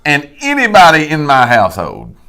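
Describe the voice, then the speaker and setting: A man's voice: a little high, normal speed. A man, co-hosting a casual live talk show with folksy, emphatic delivery. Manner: animated, folksy preacher-like emphasis. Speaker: co-host.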